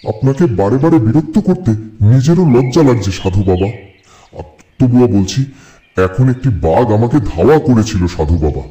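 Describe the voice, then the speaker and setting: A man's voice: mid-range voice, animated, moderate pace, warm tone, lightly acted. A man voicing a small, cocky but anxious jackal in a children's cartoon. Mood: anxious, apologetic. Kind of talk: children's moral cartoon acting.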